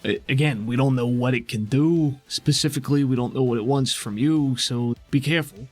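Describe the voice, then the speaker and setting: A man's voice: mid-range voice, brisk, darkish tone, faint music behind, lightly acted. A man playing a helpful, cautious guide in an improvised tabletop game, casual and reassuring. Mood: thoughtful, probing. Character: helpful, cautious male guide with circuitry, inside a brainscape. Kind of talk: improvised in-character game dialogue.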